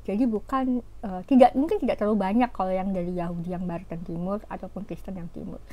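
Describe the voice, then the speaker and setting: A woman giving an informal religious talk, explaining scripture in an animated way with fillers and rhetorical questions. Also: medium pitch, fast, dull audio. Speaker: religious teacher.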